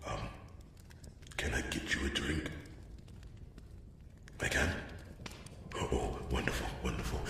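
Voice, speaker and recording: A man's voice: rumbling low, creaky. A man playing a lonely, awkward dwarf blacksmith in a fantasy ASMR roleplay, rambling and stammering earnestly as he tries to make a friend. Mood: hopeful, awkward. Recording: echoey, distant, noisy with clicks.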